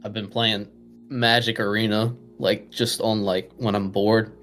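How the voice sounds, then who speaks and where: Low flat voiced guy just chatting with his friends, little bit of music behind. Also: measured pace. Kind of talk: casual chat among friends.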